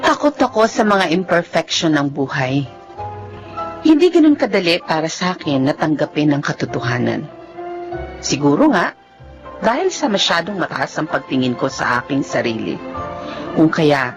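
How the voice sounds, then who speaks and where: A woman's voice: sits low, very animated, moderate pace. An actress playing a proud, troubled perfectionist who narrates her life in a radio drama, earnest and reflective. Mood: troubled reflection. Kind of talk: dramatic first-person narration. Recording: dull audio under prominent background music.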